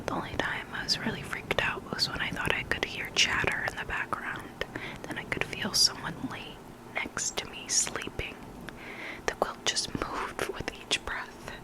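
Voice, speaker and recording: ASMR host for spooky story readings, fully whispered and breathy, intimate and deliberate delivery. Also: rough recording.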